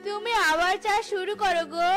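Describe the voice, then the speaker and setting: A woman playing a tiger farmer's wife in an animated fairy tale, practical and persuasive but easily alarmed. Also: very high-pitched, fairly quick, soft background music. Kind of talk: Bengali animated fairy-tale cartoon dialogue.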